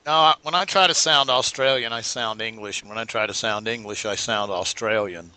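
A man's voice: lowish voice, brisk, pushed and bright. A man joking crudely with friends on a group voice call. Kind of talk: casual group voice chat.

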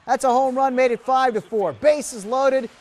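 High-pitched male sports anchor. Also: moderate pace, a little mellow. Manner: punchy, emphatic announcer delivery. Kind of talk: scripted sports highlights narration. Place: TV news studio.

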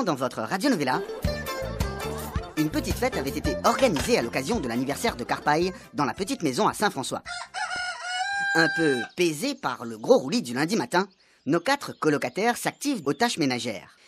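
A woman's voice: low-pitched, big pitch swings, brisk. A woman narrating a scripted radio serial in a storytelling style. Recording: music bed under the voice.

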